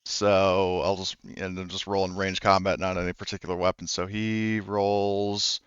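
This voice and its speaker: A man acting as game master in a tabletop game, talking with frequent pauses and fillers. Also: low voice, flat delivery, fairly bright tone, clear, resonant tone, no echo, muffled recording. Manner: hesitant, lots of fillers and restarts.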